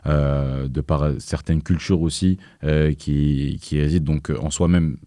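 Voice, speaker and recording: Very deep young man, hesitant. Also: steady tone, a little slow, dark tone, no room sound, studio quality. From France. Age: young adult. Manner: hesitant, many fillers and restarts. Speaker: guest, activist. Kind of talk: casual interview conversation.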